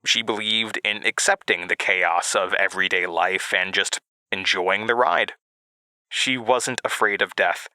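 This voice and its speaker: A young man acting as a haunted narrator in an audio drama, recalling his childhood in a halting, reflective monologue. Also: fairly low pitch, fairly even intonation, pushed and bright, smooth, no echo, full character voice, low-quality recording. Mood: wistful, reflective. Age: young adult. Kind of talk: dramatic first-person monologue, reminiscing. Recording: music bed under voice. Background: music.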